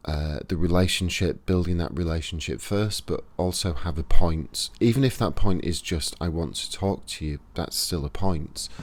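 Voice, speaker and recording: A man with a Yorkshire accent, giving a casual, unhurried spoken lesson to camera with pauses and fillers. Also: rumbling low, darkish tone, dry recording. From Yorkshire, England. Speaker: esoteric practitioner and channel host. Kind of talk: casual instructional talk to camera.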